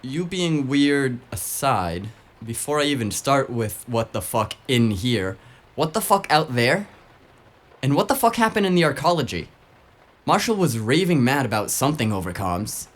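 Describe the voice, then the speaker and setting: A man's voice: mid-range voice, big pitch swings, normal speed, natural voice. Man playing a brash, snarky investigator's sidekick. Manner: snarky, colloquial. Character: brash, foul-mouthed, teasing male tech sidekick. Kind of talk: noir audio drama acting, casual banter.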